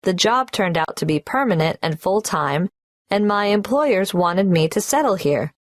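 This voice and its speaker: A woman's voice: medium pitch, animated, moderate pace, dry recording, clean studio sound, lightly acted. A woman playing a young applicant filling in a tax form in a scripted exam dialogue, carefully spelling out names and numbers. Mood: polite.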